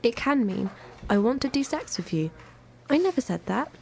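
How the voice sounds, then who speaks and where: A woman acts a female teacher in a radio drama. She explains things in a measured manner, her slightly high voice marked by a strong creak. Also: sing-song, slight background noise. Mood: explaining.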